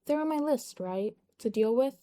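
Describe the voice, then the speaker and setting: A woman's voice: fairly high pitch, expressive, normal speed, mellow, pitched above the performer's own voice, lightly acted. A woman voicing a self-assured, teasing young male leader in an audio story. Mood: wry, questioning.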